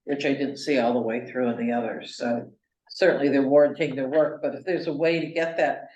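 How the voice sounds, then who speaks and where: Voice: mid-range voice, steady tone, a little fast, darkish tone. A board member talks through warranty options at a town meeting, brief and agreeable. The voice is recorded from across an echoey hall. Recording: echoey hall, distant mic.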